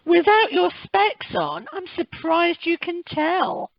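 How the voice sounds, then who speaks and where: A woman's voice: very high voice, sing-song, slow pace, dry recording, dull audio, full character voice. A woman playing a dry, sardonic holidaymaking friend, teasing and sarcastic, in an amateur radio comedy recorded by telephone.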